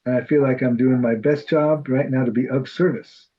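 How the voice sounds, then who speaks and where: A man's voice: medium pitch, steady tone, moderate pace, lo-fi sound. A man from New York with a New York accent, chatting in a Bible study group in a chatty, self-deprecating way. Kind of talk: casual group discussion.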